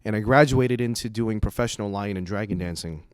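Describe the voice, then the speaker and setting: A man's voice: fairly low pitch, brisk, smooth, no echo, clean studio sound. A man from the United States whose first language is Cantonese, speaking as a comedian in a casual, rambling, profane monologue. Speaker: stand-up comedian.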